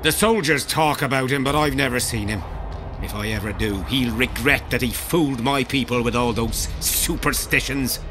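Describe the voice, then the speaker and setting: An actor playing a proud, rough army veteran in a fantasy video game, speaking in a blunt, rustic soldierly manner. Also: male voice, mid-range voice, moderate pace, over music, natural voice. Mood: scornful, stern. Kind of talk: video game dialogue acting.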